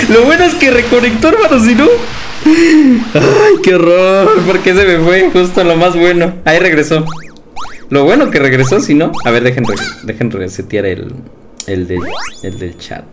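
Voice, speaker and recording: A man's voice: sits high, lively intonation, a little slow, background noise. A young Mexican man with a Mexican Spanish accent, chatting casually with viewers during a livestream, laughing often and calling them brother. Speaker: game streamer. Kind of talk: casual livestream chat reading viewer comments. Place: indoor room at home. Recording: game music loud behind voice.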